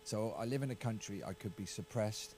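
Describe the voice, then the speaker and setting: Halting low-voiced English man. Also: a little mellow, a little hiss or hum. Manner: halting, repeats words, hedging. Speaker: county councillor. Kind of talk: casual political discussion.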